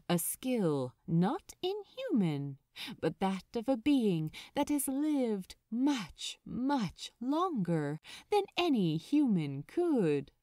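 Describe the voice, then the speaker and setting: A woman narrating a story in a dramatic, deliberate way, with emphatic pauses. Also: mid-range voice, warm tone, no echo, clean studio sound. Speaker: audiobook narrator of fan fiction. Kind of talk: expressive scripted story narration.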